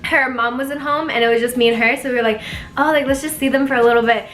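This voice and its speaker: A woman's voice: sits high, steady tone, quick pace, a bit bright, echoey room, a little hiss or hum. A young woman vlogging in a casual, chatty way, full of fillers and giggly asides. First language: Spanish. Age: young adult. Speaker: YouTuber. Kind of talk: casual vlog chat.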